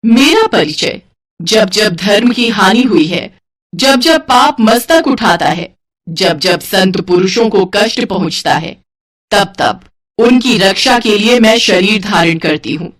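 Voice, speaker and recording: A woman's voice: mid-range voice, expressive, slow pace, fairly bright tone, distant mic. A woman voicing a fierce, righteous warrior goddess in a mythological cartoon story, commanding and solemn. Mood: righteous fury. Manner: commanding, solemn, theatrical proclamations.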